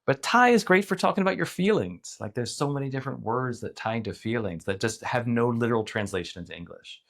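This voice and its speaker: A man's voice: mid-range voice, animated, fast talker, creaky, a bit bright. A middle-aged man in a casual podcast interview, thinking aloud with many restarts and filler words. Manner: thoughtful, rambling, frequent restarts and "like". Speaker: podcast guest.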